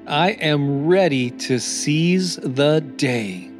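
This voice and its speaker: A man reading scripted motivational affirmations as a podcast host, in a deliberate, encouraging manner. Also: a little high, animated. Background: new-age music.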